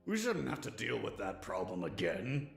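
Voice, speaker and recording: Man acting burly crooked pony worker, heavily creaky, gruff, audio drama, echoey room, faint music. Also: fairly low pitch, huge pitch swings, normal speed, heavily acted. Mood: defensive. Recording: roomy echo with faint music.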